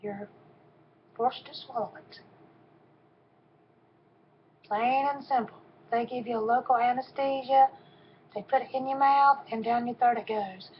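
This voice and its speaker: A soft-voiced woman in her fifties, her tone sitting a little high for a woman. She gives a personal testimony slowly and haltingly, pausing often with ums. The recording is dull and lo-fi, with a faint hum behind her. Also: steady tone. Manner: halting, with frequent pauses and ums.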